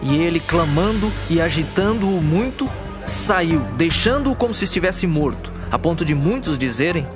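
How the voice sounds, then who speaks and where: A man's voice: fairly high pitch, lively intonation, normal speed, music in the background. A man with a Brazilian accent narrating a dramatized scripture in a scripted storytelling style.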